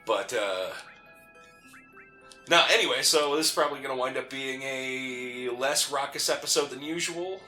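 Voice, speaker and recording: A man's voice: medium pitch, moderate pace, sharp tone. A man co-hosting a gaming let's play, chatting casually with joking, teasing banter. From a city, with mountain family roots. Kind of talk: casual chat, game commentary. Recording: roomy echo, faint game music behind. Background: faint game music.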